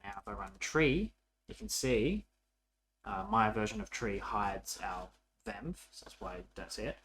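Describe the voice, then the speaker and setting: A man's voice: lowish voice, very animated, a little slow, fairly bright tone, a little hiss or hum. A man narrating a casual coding tutorial as an instructor, informal and thinking aloud as he works.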